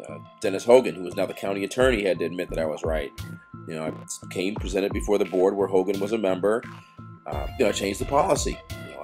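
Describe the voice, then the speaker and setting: A man's voice: sits low, a little mellow. A man speaking as an outspoken activist journalist, wordy, insistent and argumentative. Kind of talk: outspoken video commentary and confrontational phone call.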